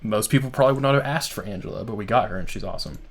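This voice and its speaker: A man hosting a live stream, reacting to a game trailer in casual, excited, rambling talk full of "like". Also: fairly low pitch, rapid-fire, slight background noise. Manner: excited, rambling, heavy use of "like". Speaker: streamer host.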